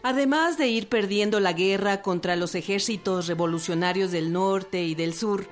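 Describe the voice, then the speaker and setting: A woman's voice: mid-range voice, normal speed, soft background music. A woman giving scripted narration for a historical radio programme.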